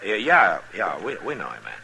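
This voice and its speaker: An actor playing a calm, stern frontier marshal in an old-time radio western, gruff when crossed and otherwise easygoing and halting. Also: male voice, lowish voice, heavily acted. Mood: hedging, evasive. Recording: noisy vintage radio recording.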